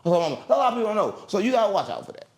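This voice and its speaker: A man's voice: high-pitched, big pitch swings, rapid-fire, a little mellow. A man giving a brash, rambling interview, swaggering and cursing as he talks. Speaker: interview guest. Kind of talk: heated interview talk.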